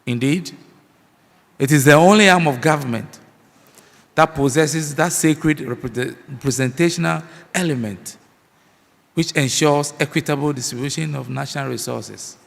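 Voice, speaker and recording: A man with a Ghanaian accent delivering a formal speech as guest of honour at a youth parliament, with a measured delivery. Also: fairly high pitch, creaky, fairly bright tone. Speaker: guest speaker. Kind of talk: formal address. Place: large hall. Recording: long hall reverb.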